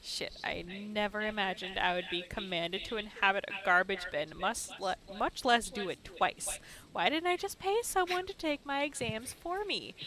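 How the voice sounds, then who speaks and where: A woman's voice: medium pitch, normal speed, a crowd in the background, natural voice, rough recording. A woman voicing a sarcastic, whiny young American woman narrating her ordeal in a visual novel, with busy background noise. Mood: indignant, dramatic. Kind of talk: dramatic read-aloud visual novel narration.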